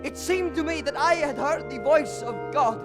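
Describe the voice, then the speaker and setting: A man's voice: very high-pitched, music in the background. A male actor playing a proud, envious court composer in a formal, theatrical stage monologue. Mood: awed, troubled. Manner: formal, grandiose, deliberate with dramatic pauses.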